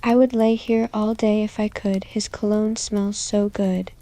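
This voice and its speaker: Woman as a crushing teen girl thinking to herself, self-conscious, soft mellow tone, pretty flat, asmr story. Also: mid-range voice, a little slow, natural voice. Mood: dreamy, smitten. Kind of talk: ASMR roleplay inner monologue.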